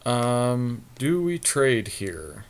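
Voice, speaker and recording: A man's voice: mid-range voice, big pitch swings, drawn-out words, clean tone. A man co-hosting a gaming podcast, casually talking through card game plays with friends.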